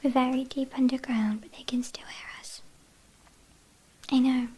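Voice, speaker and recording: A woman's voice: sits high, flat delivery, mellow, slightly rough. A woman with a British accent playing a teasing, haughty vampire kidnapper in an ASMR roleplay, whispering breathily. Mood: teasing, smug. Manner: teasing, mock-apologetic, unhurried.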